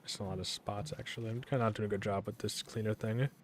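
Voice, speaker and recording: A young man streaming a game, talking in a casual, rambling way with a monotone delivery, full of fillers and restarts. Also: on the low side, very fast, a little hiss or hum. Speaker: gaming streamer.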